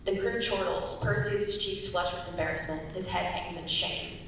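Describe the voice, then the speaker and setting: A woman's voice: steady tone, moderate pace, a bit bright. A young woman reading scripted narration and stage directions as a narrator. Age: young adult. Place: large echoey indoor performance space. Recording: very echoey hall, distant mic, rumbly noise.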